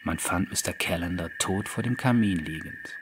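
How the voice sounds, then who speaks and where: Low-voiced man narrating a horror story. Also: moderate pace, background noise. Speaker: audiobook narrator. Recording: background music under the voice. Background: music.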